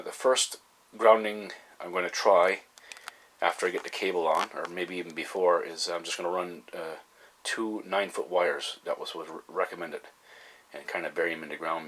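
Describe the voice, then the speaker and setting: A man giving a casual, halting explanation as a hobbyist vlogger, folksy and thinking aloud. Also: low-pitched, fairly even intonation, fairly bright tone.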